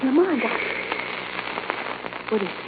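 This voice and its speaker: An actress playing an anxious, loyal sleuth's companion in 1940s radio mystery style, with a worried, questioning manner. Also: female voice, unhurried, close to an everyday voice. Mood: apprehensive. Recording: tinny, very noisy 1940s radio recording.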